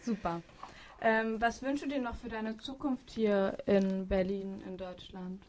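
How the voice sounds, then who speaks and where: A woman's voice: medium pitch, steady tone, measured pace, a little mellow, a little hiss or hum. A woman hosting a studio program, introducing guests and interviewing with brief, friendly affirmations. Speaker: radio host. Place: radio studio.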